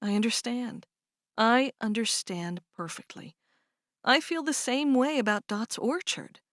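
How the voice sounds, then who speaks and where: Woman reading as a calm older sister, soft and reassuring, fairly high voice, dry sound. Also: unhurried, no room sound. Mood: soothing. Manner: gentle, reassuring.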